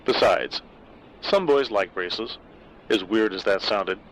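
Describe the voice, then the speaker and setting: A man reading a dark short story aloud in a measured, wry way. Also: on the low side, animated, poor audio. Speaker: narrator. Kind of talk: scripted story narration.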